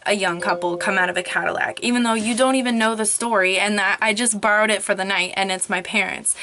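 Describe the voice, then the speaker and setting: A young woman vlogger, slightly hoarse from losing her voice, ranting casually to a camera propped up in her closet, full of "like" and "um". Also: mid-range voice, steady tone, quick pace, pushed and bright. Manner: chatty, frequent "like" and "um", rising frustration. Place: small closet at home, clothes all around. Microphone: camera propped up on a crib.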